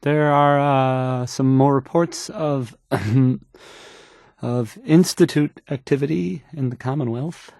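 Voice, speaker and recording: A man's voice: mid-range voice, vocal fry, darkish tone, no echo, studio quality. A man playing an awkward, unsure young radio DJ in a video game, stumbling hesitantly through news reports. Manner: halting, stammering, hesitant, trailing off.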